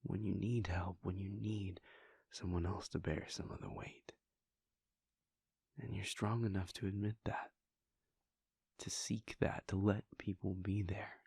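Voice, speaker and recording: A man voicing a weary, devoted male vampire prince in a soft, intimate whisper, speaking in slow, halting, heartfelt confessions. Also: deep voice, fairly even intonation, creaky, breathy edge, no room sound, full character voice. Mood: tender, hushed. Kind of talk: whispered audio roleplay.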